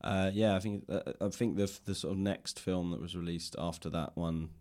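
A man hosting a relaxed conversational interview, thinking ideas through aloud in a thoughtful, hedging way. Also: deep voice, a little fast. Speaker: podcast host and interviewer. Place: indoors, in a library.

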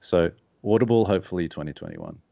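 A man speaking as a podcast guest, with a deep, mumbly voice and a quiet, introverted, reflective way of talking. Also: wildly swooping pitch, quick pace, creaky, no echo, highs cut off. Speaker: podcast guest, author and strategist. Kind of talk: casual interview chat.

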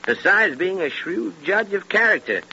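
An actor playing a seasoned British detective inspector, thoughtful and assured, in 1930s radio style. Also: male voice, high voice, sing-song, normal speed, sharp tone, heavily acted. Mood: reflective, assured. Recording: noisy, muffled 1930s radio recording.

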